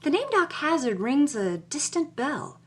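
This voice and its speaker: A woman's voice: high voice, big pitch swings, measured pace. A woman playing a plucky, jaded 1950s Hollywood starlet, narrating her own story in a wry, punchy noir style. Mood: wry, intrigued. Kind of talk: first-person noir-style scripted narration.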